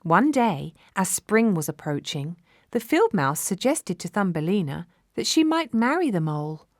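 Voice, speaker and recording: A woman storyteller reading a scripted children's fairy tale in a measured, expressive way. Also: on the low side, dry recording, studio quality.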